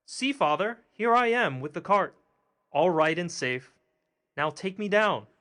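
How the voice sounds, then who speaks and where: A bright, eager little boy with a high, excited voice, calling out with plenty of energy, as a man reading a storybook puts him on. He sounds clever and quick, and his voice jumps up well above the reader's own. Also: heavily acted. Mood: cheerful.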